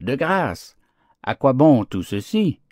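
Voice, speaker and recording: A man's voice: mid-range voice, very animated, takes it slowly, darkish tone, dry recording, studio quality, pitched above the performer's own voice. A man voicing a prudent, impatient female honeybee in a storybook fable, pleading and reasoning her case.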